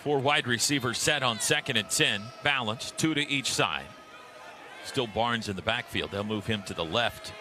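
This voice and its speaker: A man's voice: medium pitch, moderate pace. A man calling football play-by-play on radio in clipped, vivid phrases that swell on big plays. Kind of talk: live football play-by-play commentary. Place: stadium broadcast booth. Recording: clearly noisy live stadium broadcast.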